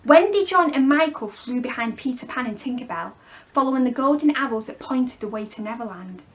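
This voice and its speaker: Animated high-voiced woman reading a storybook. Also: a little fast. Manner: animated, clearly phrased for young children. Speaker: children's storyteller. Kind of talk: expressive scripted storybook narration.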